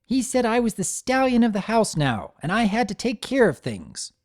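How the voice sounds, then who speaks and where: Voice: mid-range voice, sing-song, normal speed, pitched above the performer's own voice, close to an everyday voice. A narrator voicing a defiant, earnest little colt with a boyish, argumentative manner in a cartoon pony story. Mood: indignant.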